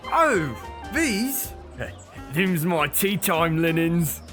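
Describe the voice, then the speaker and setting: A woman's voice: lowish voice, big pitch swings, very slow, fairly bright tone, faint music behind, exaggerated voice. A woman playing a cheerful, dotty London Cockney police officer in a comic audio drama, folksy and matter-of-fact. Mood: cheery.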